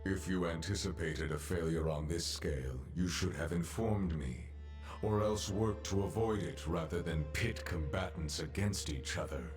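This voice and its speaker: An actor playing a stern, imperious judge in a video game, speaking formally and solemnly, as if pronouncing sentence. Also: male voice, deep voice, normal speed, a little mellow, faint music behind, natural voice. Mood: cold questioning. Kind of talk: dramatic video game voice acting.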